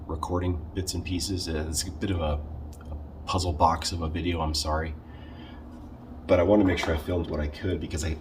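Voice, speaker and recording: A man with a very low voice talks through a casual how-to vlog. He is conversational and halting, often doubling back to correct himself. Clear background noise sits behind his voice. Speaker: video creator showing a car radio install.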